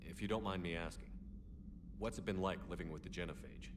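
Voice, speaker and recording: A man voicing an earnest military commander in a video game, direct, sincere and steady. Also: low-pitched, brisk, crowd noise, close to an everyday voice. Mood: steady, inquiring.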